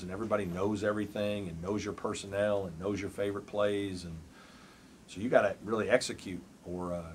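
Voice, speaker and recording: A man in his forties, a college basketball coach, answering questions at a postgame press conference in a measured, thoughtful way with many fillers. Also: lowish voice, slight background noise. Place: press room before a backdrop. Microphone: table mic on a stand.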